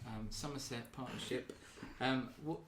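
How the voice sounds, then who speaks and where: Guy facilitating a chat, lots of ums, kinda echoey room, mid pitch. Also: steady tone, unhurried, a little hiss or hum. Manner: hesitant, lots of ums, gently probing questions. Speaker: group facilitator and podcast host. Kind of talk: casual group discussion. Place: meeting room. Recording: roomy echo.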